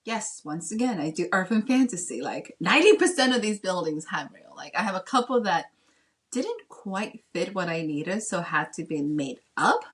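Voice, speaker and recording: A woman from the Dominican Republic and Massachusetts chatting casually as a guest author, with an animated storytelling manner. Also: on the low side, normal speed. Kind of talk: casual interview chat.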